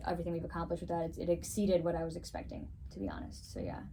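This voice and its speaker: A woman's voice: on the low side, very animated, racing, mellow. Chatty woman guest, faint noise behind. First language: Greek. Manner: upbeat, chatty, frequent "so, yeah" wrap-ups. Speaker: guest, figure skater and musician. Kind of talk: casual interview answers.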